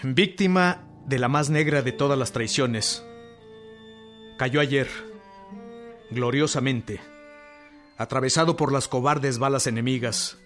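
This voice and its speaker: Radio drama role: man playing a fervent rebel general, medium-pitched, solemn oratory over a music bed. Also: a bit bright, natural voice. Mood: mournful, indignant. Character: fervent male revolutionary general proclaiming a solemn manifesto. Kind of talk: radio drama, declaimed proclamation.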